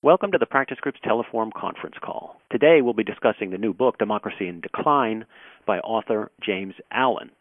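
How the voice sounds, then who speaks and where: A man's voice: on the low side, expressive, brisk, dry recording. A man formally introducing guests and housekeeping as the host of a conference call. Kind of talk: formal introduction, partly read. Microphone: telephone. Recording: dry, narrow telephone line.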